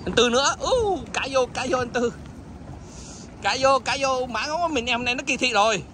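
High bright-voiced guy hollering on a fishing boat, cusses a lot, bit of outdoor noise. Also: normal speed. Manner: excitable, loud exclamations, blunt swearing. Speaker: fishing vlogger. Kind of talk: excited fishing chat.

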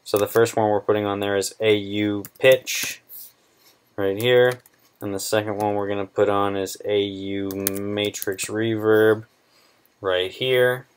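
A man in his twenties presenting a casual software tutorial in an informal, halting, unscripted way. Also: on the low side, flat delivery, clean tone. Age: 20s.

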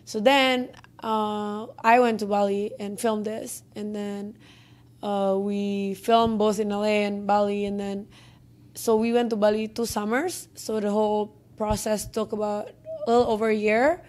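A woman's voice: medium pitch, steady tone, measured pace, a little mellow. A young woman with an Indonesian accent, a film director chatting in an interview with many fillers and restarts, on a handheld mic in an office meeting room. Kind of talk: interview answers. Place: office conference room, indoors.

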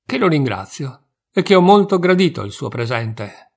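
A man's voice: fairly high pitch, very animated, moderate pace, creaky, slightly rough, exaggerated voice. A man voicing a gracious king in a fairy tale reading.

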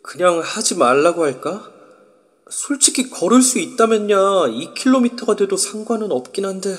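A man's voice: sits high, expressive, moderate pace. A man narrating as a wry young man. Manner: wry, musing. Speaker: narrator and lead. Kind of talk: first-person inner narration.

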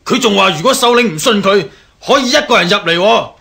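A bandit lackey in a historical radio drama. His high, sharp, gravelly voice delivers urgent reports in Hong Kong Cantonese. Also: expressive, a little fast, exaggerated voice. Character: excited bandit underling reporting to his chief.

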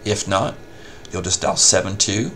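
A man giving a casual, step-by-step software tutorial as a help desk instructor. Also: deep voice, a little slow, a little hiss or hum. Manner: informal step-by-step explaining.

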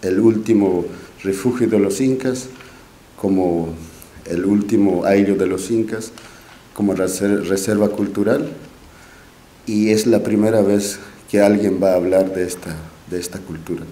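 A young Quechua-speaking man with an Andean accent addresses a forum from a podium mic, pausing often and restarting his phrases. His voice sits low and mellow, and he speaks slowly. The auditorium gives it a roomy echo. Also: steady tone. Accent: Quechua-speaking Andean Spanish. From Peru, Q'ero nation. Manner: halting and modest, with many restarts and fillers. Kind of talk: speech at a podium. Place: large auditorium stage. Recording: echoey auditorium, faint room noise.

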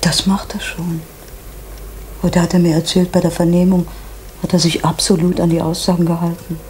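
Actress as hushed, scheming wife. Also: female voice, on the low side, little pitch movement, normal speed, close to an everyday voice. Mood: conspiratorial. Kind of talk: East German radio drama acting. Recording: old radio recording, faint noise.

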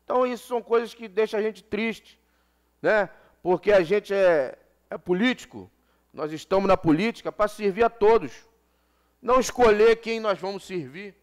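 A man's voice: sits high, normal speed, clean tone. A man in his forties, a city councilman from Brazil, giving an impassioned floor speech at a desk microphone in a council chamber, repeating phrases for emphasis. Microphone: desk gooseneck microphone.

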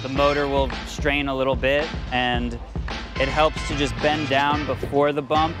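A man giving plain, step-by-step riding instruction. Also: medium pitch. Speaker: trick instructor. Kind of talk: casual instruction.

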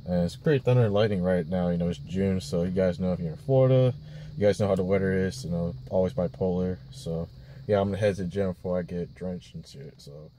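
A man's voice: low voice, quick pace, dark tone, a little hiss or hum. A man in his twenties talking casually to camera as a fitness vlogger, recorded inside a car. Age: 20s. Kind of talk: casual vlog chat to camera.

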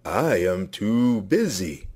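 Man as a slow, grumpy storybook cow. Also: fairly low pitch, big pitch swings, a little mellow, breathy edge, full character voice. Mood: cross. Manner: curt, grumpy. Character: cross, busy cow.

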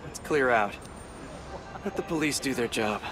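An actor playing a young but seasoned superhero mentor with an American accent, casual, joking and reassuring, in video game dialogue. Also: male voice, mid-range voice, animated, normal speed, background noise, close to an everyday voice. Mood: earnest.

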